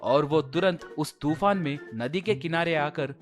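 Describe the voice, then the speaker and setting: A man's voice: fairly high pitch, unhurried, faint music behind. A man narrating a scripted folk tale as a storyteller.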